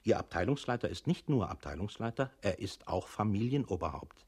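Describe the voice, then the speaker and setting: A didactic male coach in a scripted radio drama. His voice is deep and husky, and he exhorts a meek employee in deliberate, earnest phrases. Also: animated, a little mellow. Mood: earnest exhortation. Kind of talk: scripted radio play narration.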